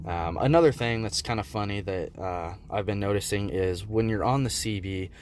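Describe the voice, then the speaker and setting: Male amateur reviewer, deep register, easygoing and hesitant, unscripted, slight background noise. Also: normal speed. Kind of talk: casual unscripted product review.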